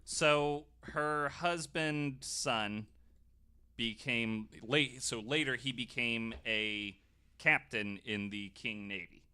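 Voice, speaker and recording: A man's voice: mid-range voice, takes it slowly, pushed and bright, smooth. A man hosting a podcast, telling a researched story in a casual, jokey, informal way. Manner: informal, swears, jokey asides.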